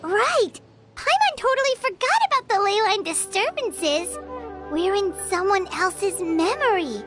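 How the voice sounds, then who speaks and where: An actress voicing a small, excitable floating female companion creature in a game, bubbly and exclamatory. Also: very high-pitched, full character voice. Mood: excited. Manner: bubbly, exclamatory, quick to jump to conclusions. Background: game background music.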